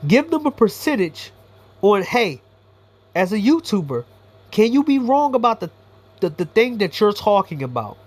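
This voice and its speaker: High-voiced blunt man ranting. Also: big pitch swings, normal speed, no echo. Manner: blunt, profane, rambling opinions. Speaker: YouTuber commentator. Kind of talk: casual monologue commentary.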